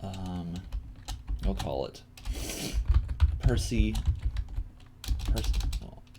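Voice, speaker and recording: Male tutorial streamer, unhurried and halting, with lively swings in pitch, muttering to himself between explanations while typing. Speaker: coding teacher and stream host. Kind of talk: live coding tutorial, thinking aloud. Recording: noisy room with keyboard clicks. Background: typing and clicking.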